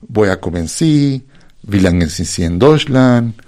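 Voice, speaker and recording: A man's voice: lowish voice, animated, measured pace, dark tone. Male radio host, German speaker. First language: not German. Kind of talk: radio interview moderation in non-native German. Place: radio studio.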